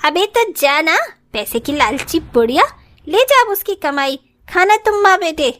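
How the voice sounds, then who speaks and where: A woman voices a spoiled, arrogant young rich daughter-in-law in a cartoon. Her voice is extremely high and swings widely in pitch. She talks down to people with haughty, cutting scorn. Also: expressive, a little slow. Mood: defiant. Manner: haughty, scornful, sharp-tongued. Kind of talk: Hindi moral story cartoon acting.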